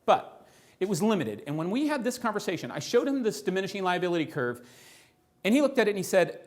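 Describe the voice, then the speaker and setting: A man presenting financial ideas to a live audience in a room, animated, often asking the listeners rhetorical questions. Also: sits high, quick pace.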